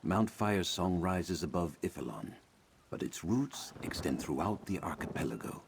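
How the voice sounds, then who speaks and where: An actor playing a learned, courteous older druid in a fantasy video game, speaking in a formal, measured, concerned way. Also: male voice, very animated, noisy background, heavily acted. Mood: grave, explaining. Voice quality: deep, gravelly.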